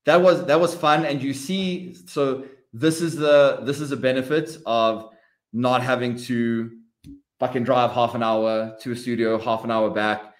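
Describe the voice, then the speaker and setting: A man's voice: mid-range voice, fairly even intonation, unhurried. A man, a coach hosting a podcast, chatting casually and bluntly with easy swearing while he is sick with a sinus cold. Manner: blunt, swears freely, laughs easily. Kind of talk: casual chat.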